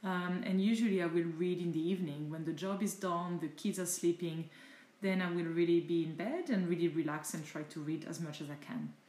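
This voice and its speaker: A middle-aged woman from France with a French accent, speaking English rather than her native French, giving chatty, thoughtful answers with searching pauses in a casual home interview. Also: fairly low pitch, fairly even intonation, darkish tone, reverberant. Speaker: interviewee, mother of the host.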